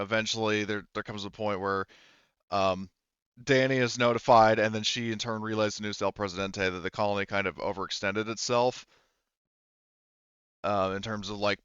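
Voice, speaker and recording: Low, smooth-voiced man running a game, slightly dull sound. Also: fairly bright tone, no room sound. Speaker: game master. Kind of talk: narrating a tabletop roleplaying game, improvised.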